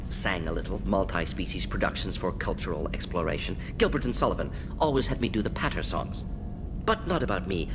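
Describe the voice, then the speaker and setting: A man's voice: fairly low pitch, noisy background. An actor playing a restless, intensely analytical male alien scientist in a video game, speaking in clipped, telegraphic phrases. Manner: clipped telegraphic phrases, articles and pronouns dropped. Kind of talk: video game character dialogue.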